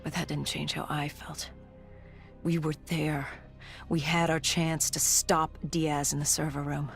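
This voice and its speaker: An actor playing an augmented soldier in a video game, haunted and resolute, recounting past missions in grave, measured storytelling. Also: medium pitch, level tone, gravelly. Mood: brooding, suspicious. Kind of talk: dramatic game cutscene narration. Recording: music under the voice. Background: music.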